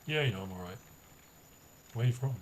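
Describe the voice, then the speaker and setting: A man's voice: mid-range voice, expressive, a little fast, background noise. A friendly young man from the UK with a London accent, chatting casually and laughing easily. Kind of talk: casual chat.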